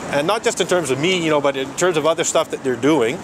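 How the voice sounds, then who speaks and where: A man's voice: a little high, quick pace. A man arguing his case on a city street, insistent and repeating himself, laughing between points. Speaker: street protester. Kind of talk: heated street argument. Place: city street outdoors near traffic. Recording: outdoor street noise, traffic.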